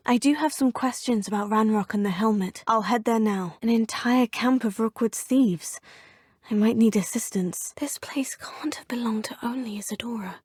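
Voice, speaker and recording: A woman's voice: fairly high pitch, a little mellow, breathy edge, no room sound, clean studio sound. A polite young witch student. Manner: polite, formal, inquisitive. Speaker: player character in a video game. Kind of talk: video game voice lines.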